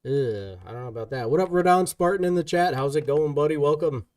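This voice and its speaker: A man's voice: fairly high pitch, brisk, a little mellow, no room sound, far from the mic. Chatty man streamer, a bit off-mic. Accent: Ohio. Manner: upbeat, greets viewers, reads chat aloud. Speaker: streamer host reading chat. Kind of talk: casual gaming stream chat.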